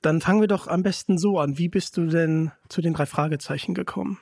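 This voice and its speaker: A man's voice: fairly high pitch, fairly quick, creaky, a little mellow, dry recording, clean studio sound. A man hosting a podcast, chatting casually as he interviews a guest. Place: kitchen.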